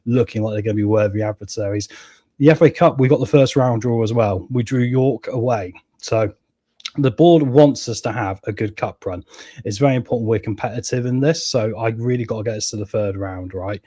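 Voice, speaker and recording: A man with a cold and a hoarse voice, chatting casually to viewers as he plays a football management game and reads out live chat. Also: mid-range voice, mellow, no echo. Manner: chatty, keeps addressing viewers as guys and mate. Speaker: gaming channel host.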